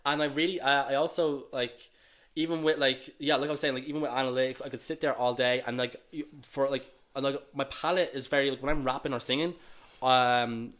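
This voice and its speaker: A young man with a light Dublin accent, a guest rapper chatting casually in a laid-back, soft-spoken way. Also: mid-range voice, fairly even intonation, brisk. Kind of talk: casual chat.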